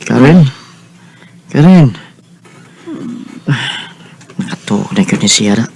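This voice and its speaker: A man's voice: medium pitch, animated, slow pace, creaky, a little mellow, breathy edge, full character voice. An actor playing a kind, attentive man in a radio drama, speaking expressively and conversationally with a Cebuano Filipino accent. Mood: tender, admiring. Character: Robin, kind, attentive man hosting and admiring a woman friend.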